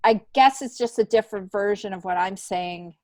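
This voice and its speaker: A woman's voice: medium pitch, moderate pace, darkish tone, no echo. A middle-aged woman speaking candidly and reflectively in an informal interview, repeating points for emphasis. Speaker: podcast guest, stand-up comedian.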